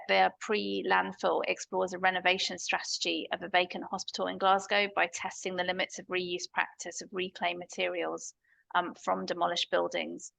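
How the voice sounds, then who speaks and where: A woman chairing an online session and introducing the next presenter, speaking in a measured way with many fillers. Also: lowish voice, gravelly, fairly bright tone, no echo, low-quality recording.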